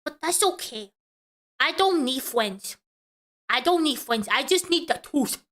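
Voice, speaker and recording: A woman hosts a reaction stream and throws sarcastic, mocking remarks at the clip she is watching. Her voice is bright and fairly high, and her timbre has an edge. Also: expressive, a little slow. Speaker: vtuber host reacting to a clip. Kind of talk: reaction commentary.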